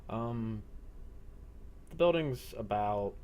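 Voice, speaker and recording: A man narrating a role-play game as game master over online voice chat, halting and matter-of-fact. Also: lowish voice, expressive, darkish tone, slight background noise.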